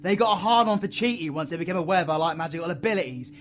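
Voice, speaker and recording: Male actor as brooding dark-magic villain, British accent, slangy and foul-mouthed, slightly high pitch, audio roleplay, noticeable background noise. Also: racing, lightly acted. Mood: urgent, defiant. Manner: intense, swearing, slangy. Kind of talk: dramatic audio roleplay acting.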